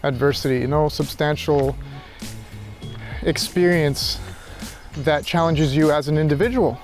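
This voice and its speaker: Male walk-and-talk vlogger, slightly raised pitch, reflective and halting, casual delivery, light music underneath. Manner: reflective, halting, thinking aloud. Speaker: philosophy tutor vlogger. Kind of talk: casual rambling monologue while walking. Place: outdoors, walking.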